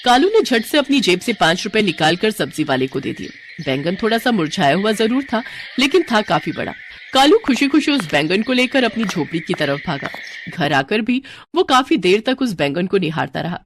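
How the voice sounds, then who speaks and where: Animated female storyteller, lively intonation. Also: medium pitch, moderate pace, slight background noise. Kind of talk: scripted story narration.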